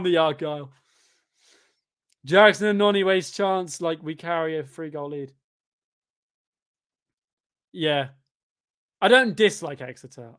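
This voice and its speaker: A twenty-year-old man from Devon with a Devon accent, chatting casually and rambling on a football watchalong stream, with sudden loud outbursts at chances. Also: on the high side, smooth. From England. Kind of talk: casual live-stream chat reacting to a match and reading chat.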